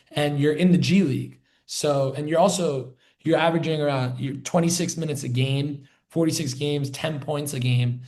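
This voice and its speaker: A man with a voice a little higher than average hosts an interview podcast. He talks casually, stacking up short recaps and details. These lead into his questions for the guest. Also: darkish tone. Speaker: podcast host.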